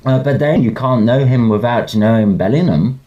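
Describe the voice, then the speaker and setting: A man's voice: mid-range voice, normal speed, mellow, lo-fi sound, close to an everyday voice. A man playing a hearty, gossipy young student oarsman in a Victorian audio drama, speaking emphatically in clipped British English. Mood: confiding, disdainful. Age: young adult.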